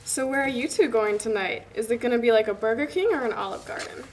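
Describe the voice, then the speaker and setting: Female actor as candid young best friend, very high voice, teasing scripted chat, slightly noisy room. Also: fast, close to an everyday voice. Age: young adult. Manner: teasing, casual. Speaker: actor at a script table read. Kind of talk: casual dialogue read aloud from a script.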